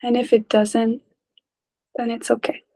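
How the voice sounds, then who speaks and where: A woman leading a guided meditation in a gentle, calm, unhurried way, leaving long pauses between short phrases. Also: a little high. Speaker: meditation teacher.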